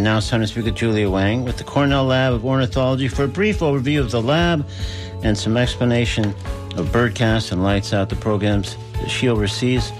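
A male radio host with a middle-pitched voice. He talks in friendly, rambling runs and strings long questions together. Faint music sits under his voice. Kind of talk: radio interview and show links.

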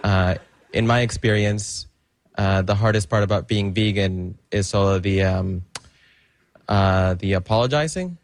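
A man performing a stand-up comedy bit, halting and hesitant, with many pauses and fillers. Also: lowish voice.

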